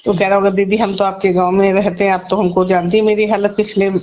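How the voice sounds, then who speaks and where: Woman, Uttar Pradesh accent, mid-pitched, casual conversational storytelling with quoted speech, dull recorded phone call. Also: little pitch movement, fast talker, a little mellow, slight background noise. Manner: conversational, recounts others' words in reported dialogue.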